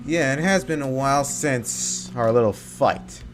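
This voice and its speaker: A man giving casual commentary as he plays through a game, halting and often repeating his phrases. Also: mid-range voice. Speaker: gaming streamer. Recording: game music behind the voice.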